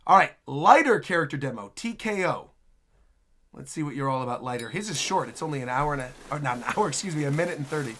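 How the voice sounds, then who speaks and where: A woman hosting casual, animated reaction commentary on game trailers. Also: low-pitched, fast.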